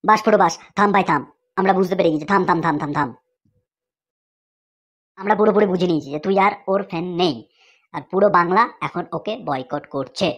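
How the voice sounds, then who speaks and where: A woman voicing an excitable, teasing cartoon pal who exclaims and repeats words in a comic dub. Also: fairly low pitch, fairly quick, pauses often, close to an everyday voice. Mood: calming, wary.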